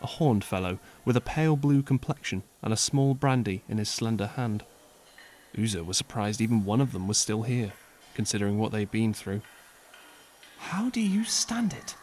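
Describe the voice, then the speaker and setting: A man narrating an audiobook in a measured, lightly wry storytelling manner. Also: on the low side, a little mellow, slight background noise. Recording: faint music behind.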